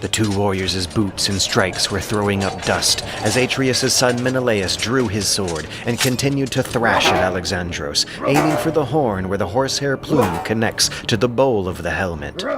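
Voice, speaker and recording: Low-voiced man narrating over noisy ambience. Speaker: audiobook narrator. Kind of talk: dramatic scripted epic narration. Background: ambient sound effects.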